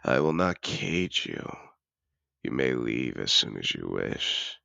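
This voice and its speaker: Fantasy audio drama: male actor as seductive dragon patron, courtly and possessive, unhurried, close dry sound, deep gravelly murmur. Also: very animated, bright, forceful tone, dry recording, exaggerated voice. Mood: tender, seductive. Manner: velvety, deliberate, courtly. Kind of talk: intimate fantasy audio roleplay, slow dramatic delivery.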